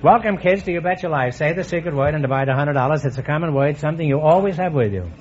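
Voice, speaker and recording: A man's voice: on the high side, animated, darkish tone, a little hiss or hum. Wisecracking middle-aged man hosting a quiz. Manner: teasing, quick puns and asides. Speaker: quiz show host. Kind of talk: wisecracking quiz show banter. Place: studio before a live audience. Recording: dull old radio broadcast, audience behind.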